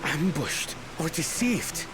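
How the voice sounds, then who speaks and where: An actor playing a young catfolk prince, a protective brother who is earnest and hot-tempered, speaking in a formal, measured, dramatic way for a video game. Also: male voice, a little high, very animated, background noise. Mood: grim.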